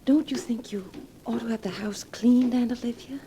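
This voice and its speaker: A woman's voice: on the high side, moderate pace, close to an everyday voice. An actress playing a polite, nervous young woman in a 1940s radio horror melodrama. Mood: timid, hushed. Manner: polite, timid, anxious. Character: nervous young niece exploring a creepy old house. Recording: faintly noisy 1940s radio recording.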